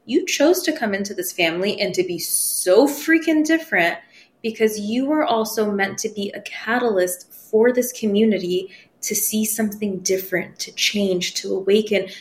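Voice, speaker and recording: A woman whose was Farsi, telling personal stories as a podcast guest and acting out the voices she quotes, laughing easily. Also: mid-range voice. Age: 30s. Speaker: podcast guest, spiritual medium. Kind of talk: casual interview storytelling.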